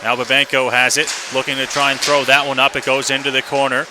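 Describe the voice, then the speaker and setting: A man calls live hockey play-by-play on the radio at a medium pitch. Clear rink noise sits behind his voice throughout. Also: flat delivery, fast talker. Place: indoor ice rink. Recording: noisy live arena radio broadcast. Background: loud rink ambience and noise.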